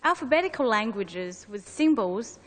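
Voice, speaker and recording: A woman's voice: a little high, big pitch swings, normal speed. A woman whose first language is Chinese, explaining carefully in a scripted conference presentation with a co-presenter. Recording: long hall reverb.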